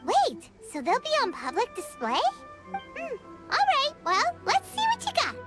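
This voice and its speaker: A woman voicing a tiny, bubbly, childlike fairy companion in a video game, with a squeaky voice. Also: wildly swooping pitch, a little slow, full character voice. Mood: curious. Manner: bubbly, refers to self in third person. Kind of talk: video game character acting. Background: loud music.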